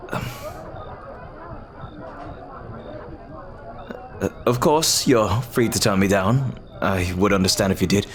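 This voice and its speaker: A lovestruck pirate captain, played by a man in an audio roleplay. His voice is low and deep. He speaks earnestly and hesitantly, with tender, formal, old-fashioned phrasing. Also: moderate pace, natural voice. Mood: restrained, wistful. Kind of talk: ASMR roleplay acting.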